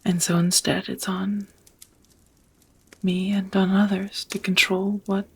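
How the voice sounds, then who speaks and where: A woman playing a centuries-old vampire roommate in an intimate ASMR roleplay, tender and melancholy, whispering softly with hesitant, formal wording. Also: medium pitch, level tone, slow, full character voice. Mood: melancholy, ashamed. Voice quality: breathy, whispery, soft.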